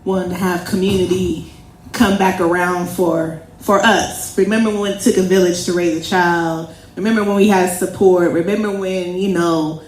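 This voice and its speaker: A woman's voice: on the low side, fairly even intonation, normal speed. Woman introducing herself, echoey room. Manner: warm and rhythmic, uses rhetorical repetition. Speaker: community mediator and event host. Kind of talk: casual self-introduction. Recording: echoey room with faint ambience.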